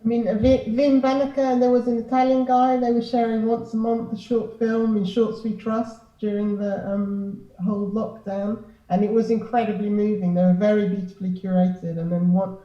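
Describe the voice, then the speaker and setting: A woman's voice: medium pitch, level tone, normal speed, hardly any pauses. A woman speaking reflectively and unscripted as a panelist. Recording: echoey, distant, dull microphone.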